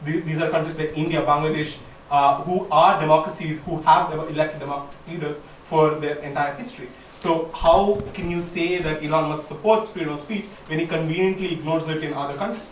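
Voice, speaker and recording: A man's voice: a little high, fairly even intonation, fairly quick, echoey room, far from the mic, a little hiss or hum, rough recording. A man in a conference audience asks a pressing question, searching for words. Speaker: audience member asking a question.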